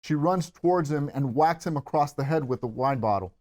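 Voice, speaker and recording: A man's voice: a little high, fairly quick, a little mellow. A man narrating screenplay stage directions at a table read.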